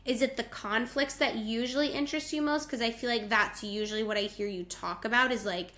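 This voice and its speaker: A woman's voice: fairly high pitch, steady tone, a little fast, fairly bright tone. A woman from the United States co-hosting a podcast, chatting casually and often saying "like". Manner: chatty, peppered with "like". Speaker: podcast co-host. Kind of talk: casual chat.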